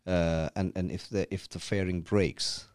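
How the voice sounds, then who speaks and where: Sri man, very deep voice. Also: flat delivery, unhurried, darkish tone, clean tone, no room sound, clean studio sound. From Sri Lanka. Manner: repeats and restarts words often, chatty. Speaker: podcast guest. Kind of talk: casual conversational explaining. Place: rented room.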